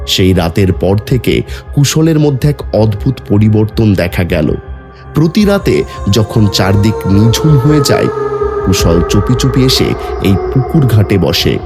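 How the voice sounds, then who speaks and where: Dramatic male horror narrator over loud music. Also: lowish voice, big pitch swings, unhurried. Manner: dramatic, suspenseful. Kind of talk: scripted horror story narration.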